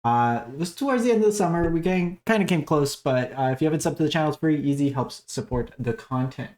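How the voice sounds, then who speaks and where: Hoarse man hosting card game commentary. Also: a little high, expressive, fast, a little mellow. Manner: conversational, many fillers. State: raspy, losing his voice. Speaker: host. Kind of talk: casual gaming commentary.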